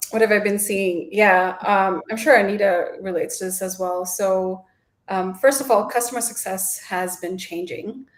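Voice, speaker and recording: A woman's voice: mid-range voice, steady tone, moderate pace. Chatty woman in an echoey room. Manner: chatty, laughs easily, many fillers. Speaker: guest. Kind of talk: panel discussion. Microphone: video call. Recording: echoey room on a video call.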